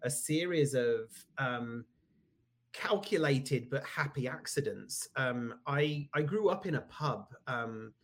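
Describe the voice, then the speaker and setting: A man's voice: medium pitch, lively intonation, takes it slowly, a bit bright. A British man in his forties, a guest in a casual interview, speaking reflectively with self-deprecating humor and frequent ums. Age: 40s. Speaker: guest, executive coach.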